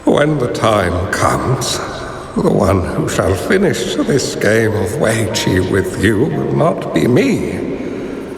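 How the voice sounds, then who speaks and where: Slow, frail-hearted elderly sage. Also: male voice, lowish voice, big pitch swings, creaky, heavily acted. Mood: wistful, resigned. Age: older. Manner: measured, philosophical, halting. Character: dying elderly male strategist, wise, gentle, contemplative mentor. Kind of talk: dramatic video game voice acting. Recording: echoey reverberant hall, music behind.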